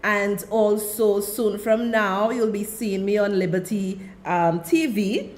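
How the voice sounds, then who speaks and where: A woman's voice: medium pitch, takes it slowly. A woman with a Trinidadian accent hosting her own radio talk show, chatting directly to her listeners into a studio mic. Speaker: radio and web show host.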